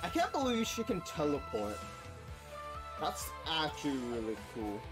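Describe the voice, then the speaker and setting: A man's voice: on the high side, huge pitch swings, a little slow, over music. A young man hosting a gaming reaction video, talking casually in an informal, slangy, enthusiastic way.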